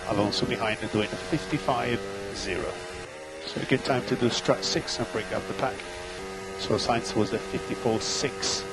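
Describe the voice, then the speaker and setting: A man working as a race engineer, giving clipped, steady calls of gaps, lap times and instructions over team radio. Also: on the low side, normal speed, over loud music, low-quality recording.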